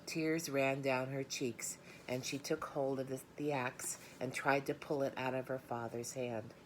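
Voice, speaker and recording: Very low-voiced woman reading aloud. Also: fairly even intonation, a little slow, poor audio. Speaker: storybook reader. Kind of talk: read-aloud storytelling with informal remarks. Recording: noticeable background noise, room ambience.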